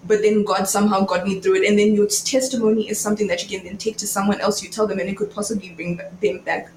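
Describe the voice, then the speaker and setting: Young woman, casual explanatory talk, medium pitch, strong room echo. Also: level tone, quick pace, a little mellow. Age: young adult. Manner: informal, explanatory, filler-heavy with "like". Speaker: podcast panelist. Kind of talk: casual faith discussion. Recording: very echoey room, faint noise.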